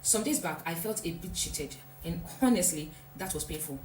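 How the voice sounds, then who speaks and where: An earnest woman gives reflective, motivational advice and often repeats herself for emphasis. Her voice sits fairly low. The sound is roomy and echoey, with a faint hum underneath. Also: expressive, normal speed, darkish tone. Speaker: vlogger.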